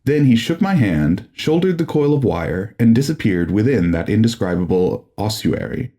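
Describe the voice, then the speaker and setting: A man's voice: lowish voice, very animated, normal speed, warm tone. A man narrating a gothic horror audiobook in a solemn, formal and dramatic manner.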